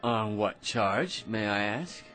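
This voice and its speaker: Tipsy schemer: cheeky, wheedling, unhurried and very animated, for vintage-style radio drama. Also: male voice, on the low side, full character voice. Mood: mock-polite. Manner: rambling, stammering, wheedling, mock-polite. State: drunk. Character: tipsy, rambling, cheeky barroom blowhard man, self-amused schemer. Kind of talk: old-time radio drama acting. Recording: music behind radio drama dialogue.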